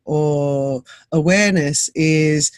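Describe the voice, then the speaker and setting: A woman's voice: deep voice, takes it slowly, smooth, no echo, studio quality. A woman from Greece who grew up in Australia, interviewing in a chatty way, laughing often and searching for words. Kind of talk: casual interview chat.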